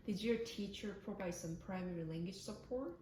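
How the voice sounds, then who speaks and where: A woman's voice: mid-range voice, moderate pace, darkish tone, a little hiss or hum. A woman interviewer who asks her questions politely and somewhat hesitantly, with fillers. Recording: roomy echo.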